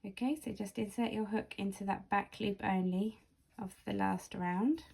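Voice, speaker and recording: A woman with a slightly croaky voice giving casual, step-by-step craft instruction as a tutorial host, speaking carefully and clearly into a built-in microphone. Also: mid-range voice, steady tone, normal speed, darkish tone. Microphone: camera or phone's built-in microphone, no external mic.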